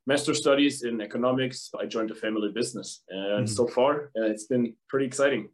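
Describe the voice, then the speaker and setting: Young man, German accent, mid-pitched voice, rambling explanations, casual interview guest. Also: a little fast. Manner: explains at length with restarts and fillers. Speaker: guest, bowling equipment manufacturer.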